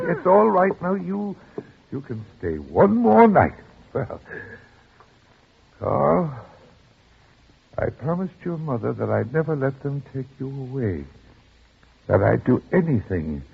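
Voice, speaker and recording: An actor playing an anxious older father protecting his son in a 1940s radio drama, nervous, halting and pleading. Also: fairly high pitch, gravelly, husky, phone-quality sound, heavily acted. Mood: distraught. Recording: crackly noisy band-limited old radio recording.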